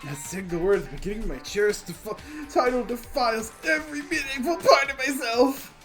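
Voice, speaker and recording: A woman voicing an earnest student narrator in a visual novel game, expressive and dramatic. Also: fairly high pitch, fairly quick, faint music behind. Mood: distraught, outraged. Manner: theatrical, expressive storytelling.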